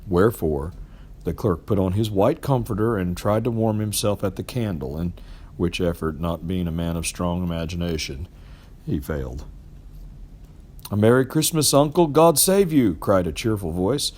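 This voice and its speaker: A man reading a classic story aloud as an expressive storybook narrator. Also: low-pitched, moderate pace, slight background noise. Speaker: amateur storybook reader.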